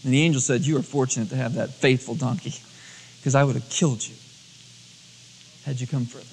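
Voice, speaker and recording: A man's voice: medium pitch, steady tone, a little fast, gravelly, a little mellow, a little hiss or hum. A man preaching a storytelling sermon as a pastor, acting out dialogue in everyday colloquial words. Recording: long hall reverb, cassette tape transfer.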